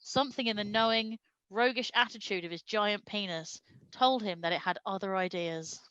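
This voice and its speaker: A woman's voice: medium pitch, normal speed, sharp tone, clean tone, no echo, lo-fi sound. A woman reading a comic story aloud with dramatic storytelling delivery and amused asides. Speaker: reader of comic fan fiction at a live stream show.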